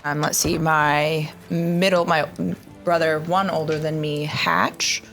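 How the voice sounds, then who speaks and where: Animated woman player arguing in character. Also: deep voice, takes it slowly, soft background music. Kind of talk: tabletop roleplay, in character.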